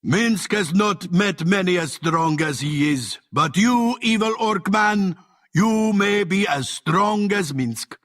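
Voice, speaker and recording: An earnest, big-hearted ranger hero from a fantasy game. He speaks slowly and proudly in a high, swooping voice, boisterous and naive. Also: lively intonation, a bit bright, full character voice. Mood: earnest admiration. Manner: earnest, speaks of himself in third person. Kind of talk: cartoonish fantasy game voice acting.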